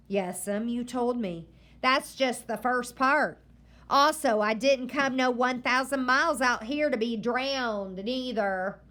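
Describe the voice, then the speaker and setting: A woman's voice: high-pitched, a bit bright, pitched above the performer's own voice. A woman voicing a stern, stubborn old pioneer grandmother who talks in blunt, folksy country speech.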